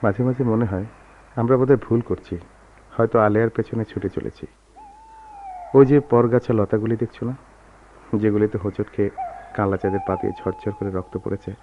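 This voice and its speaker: An actor playing a brooding, bitter, lovelorn man in a Bengali radio drama, speaking in a measured, melancholy, literary way. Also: on the low side, fairly even intonation, close to an everyday voice. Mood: reflective. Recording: dull lo-fi radio recording with noise.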